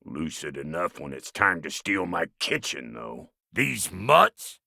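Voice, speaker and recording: An actor playing a gruff, foul-mouthed dwarf blacksmith in a video game, with a raspy voice, folksy rustic slang and swaggering insults. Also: male voice, deep voice, very animated, takes it slowly, pushed and bright, no room sound, studio quality, full character voice. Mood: sly, grumbling. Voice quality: gravelly, raspy. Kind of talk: video game character acting.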